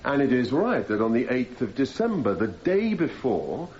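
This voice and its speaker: A man's voice: mid-range voice, very animated, normal speed, darkish tone, lightly acted. A male actor plays a defence barrister in a radio drama, formal and probing. He builds leading questions step by step in a mid-range voice. The sound is slightly muffled, with faint noise underneath. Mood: methodical, formal. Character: probing, persistent male defence barrister cross-examining a witness. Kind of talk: radio courtroom drama acting.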